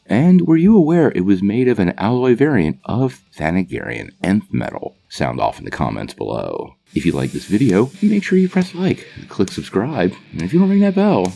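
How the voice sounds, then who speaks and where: A man with a low, gravelly voice delivers a scripted explainer as a channel host. His pitch swings widely as he addresses viewers in a casual, enthusiastic fan style. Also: mellow. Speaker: host of a comic book channel.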